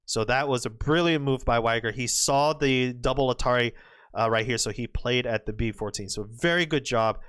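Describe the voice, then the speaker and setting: A slightly hoarse man commentating on a go match, exclaiming and trailing off as the game turns. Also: mid-range voice, moderate pace. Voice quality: excitable, exclamatory, trails off and restarts. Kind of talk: excitable live game commentary.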